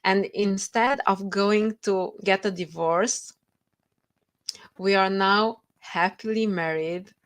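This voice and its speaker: A woman's voice: medium pitch, steady tone, slow. A woman with a Romanian accent, a guest author telling her story with earnest feeling in a podcast interview over a video call.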